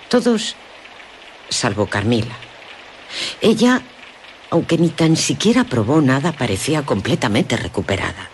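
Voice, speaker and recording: An earnest young woman of a castle household in a radio drama. Her intonation moves widely and expressively, gentle and curious. A faint rain-like noise sits behind her. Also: low-pitched. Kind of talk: Spanish radio drama acting, narration and dialogue.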